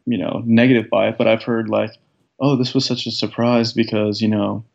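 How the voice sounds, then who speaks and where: Deep gravelly young man, reflective. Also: little pitch movement, a little fast, darkish tone. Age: 20s. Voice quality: deep raspy voice. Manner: reflective, thoughtful, many fillers. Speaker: guest, professional soccer player. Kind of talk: casual interview conversation. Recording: dull lo-fi sound.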